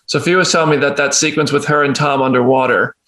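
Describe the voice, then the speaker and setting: A man's voice: medium pitch, fairly even intonation, quick pace, pushed and bright. A man interviewing casually as an entertainment host, enthusiastic and rambling in how he phrases his questions. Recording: roomy, echoey sound.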